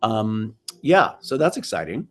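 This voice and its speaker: A man's voice: on the low side, very animated, measured pace. An older American man, a film director, talking casually on a video call and often restarting his sentences. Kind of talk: casual interview chat.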